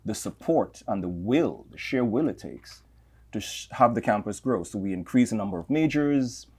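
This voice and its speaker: A middle-aged man with a Trinidadian accent, speaking as an interview guest in a measured, reflective way, often repeating words as he gathers his thoughts. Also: on the low side, animated, a little mellow, husky. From Trinidad and Tobago. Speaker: campus leader, guest. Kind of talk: interview conversation.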